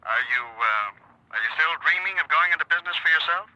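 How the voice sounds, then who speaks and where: A man's voice: a little high, lively intonation, fast talker, sharp tone, dry recording. An actor playing a fatherly, cautioning parole officer in a 1950s radio drama. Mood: fatherly, warning. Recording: muffled vintage radio sound.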